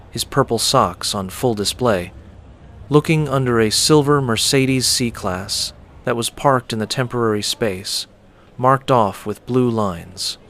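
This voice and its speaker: A man reading a dramatic scripted story as a storyteller, with deliberate, theatrical phrasing. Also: mid-range voice, a bit bright. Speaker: storyteller and host.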